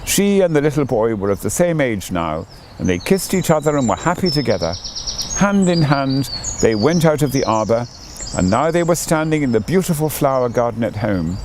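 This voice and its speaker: A man narrates a storybook in an expressive manner, with a mid-range voice that rises and falls a great deal. There is noticeable ambient noise behind his reading. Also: medium pitch, moderate pace. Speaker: audiobook reader.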